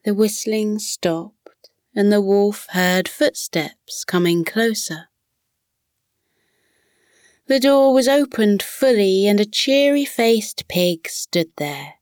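A woman reading a scripted children's bedtime story as narrator, in a soothing, measured manner. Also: mid-range voice, expressive, a little mellow, no room sound, clean studio sound.

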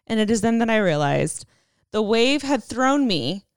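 A young woman co-hosting a podcast, reading a listener's story aloud in an animated way and laughing often. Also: on the high side, a little slow, clean tone, no echo, studio quality. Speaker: podcast co-host.

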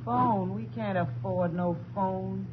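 An actress playing a hard-bitten, resentful rancher's wife in a 1950s radio western, curt and complaining, with rough rural grammar. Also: female voice, medium pitch, fairly even intonation, slow, natural voice. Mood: defensive. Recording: tinny, noisy 1950s radio recording.

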